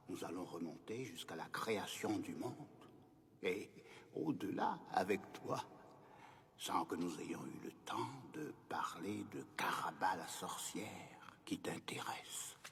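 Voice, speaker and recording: A man voicing a wise old grandfather in an animated film, kindly and solemn, explaining patiently in a husky, breathy voice. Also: low voice, slow, a little hiss or hum, heavily acted. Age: older.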